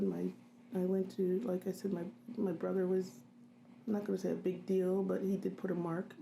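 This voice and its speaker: Middle-aged woman, halting memories, low pitch, kinda gravelly. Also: dark tone, slight background noise, poor audio. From grew up in a US city in a Haitian immigrant family. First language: Haitian Creole. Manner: halting, many ums and restarts. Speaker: interviewee, registered nurse. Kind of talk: reflective interview recollection.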